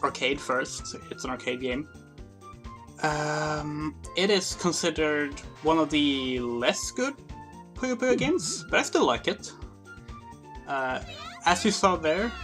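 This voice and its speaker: Game streamer, casual livestream commentary, hesitant and rambling, mid pitch, game music in background. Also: fairly bright tone. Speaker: game streamer, channel host.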